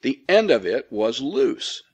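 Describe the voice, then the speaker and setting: A man reads a classic ghost story as an audiobook narrator. His delivery is measured and formal, with a dryly cynical edge. The voice sits at a middling pitch. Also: sing-song, darkish tone, slightly rough. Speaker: audiobook reader. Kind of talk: dramatic scripted narration of an old ghost story.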